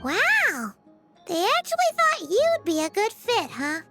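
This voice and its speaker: A woman voicing a small, excitable floating fairy companion in a video game, with a very high, squeaky voice and an eager, chatty way of talking. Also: a little slow, exaggerated voice. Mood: chirpy. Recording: game audio over background music.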